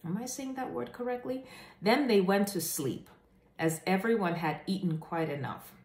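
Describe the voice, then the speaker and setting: A woman hosting a children's read-aloud, telling stories to the viewer in an expressive, deliberate and emphatic way. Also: mid-range voice. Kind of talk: animated read-aloud storytelling to camera. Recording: echoey room.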